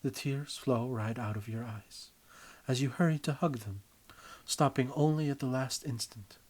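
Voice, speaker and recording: Husky man reading a story theatrically. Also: medium pitch. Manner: theatrical, emphatic, deliberate phrasing. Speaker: writer and reader of own story. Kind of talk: dramatic scripted story narration in second person.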